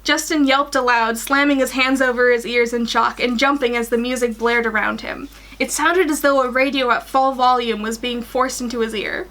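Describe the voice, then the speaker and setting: A woman's voice: a little high, fairly even intonation, normal speed, fairly bright tone. A woman in her twenties reading a fan story aloud and chatting informally in a small room at home. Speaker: guest reader on a fan fiction livestream.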